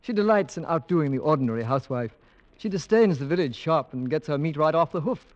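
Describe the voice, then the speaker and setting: A man's voice: a little high, expressive, fast, close to an everyday voice. A man acting a pleasant young English husband, doting, wry and a little uneasy, in an old radio drama. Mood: fond, wry. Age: young adult. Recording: dull old radio recording.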